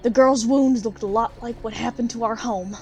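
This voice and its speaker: A woman's voice: fairly high pitch, steady tone, normal speed, a little mellow, soft background music, close to an everyday voice. A woman playing a poor, plain-spoken country widow, bitter and unsentimental, in an audio drama. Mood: shaken, earnest.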